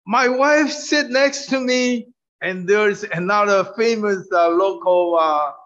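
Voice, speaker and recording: Male preacher, native Mandarin speaker, unhurried and halting, higher-pitched, testimony style, on a dull muffled recording. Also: fairly bright tone. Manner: halting, with frequent fillers and restarts. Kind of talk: testimony sermon through an interpreter.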